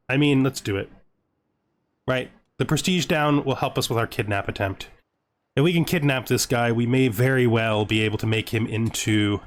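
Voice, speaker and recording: A man giving casual let's-play commentary on a strategy game, talking through his decisions to himself and often checking them with a questioning tag. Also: medium pitch. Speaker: gaming video host playing a strategy game.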